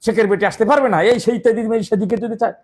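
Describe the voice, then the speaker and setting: A man's voice: sits high, very fast, slightly rough. A man giving an angry, rambling political monologue full of insults and restarts. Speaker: political commentator.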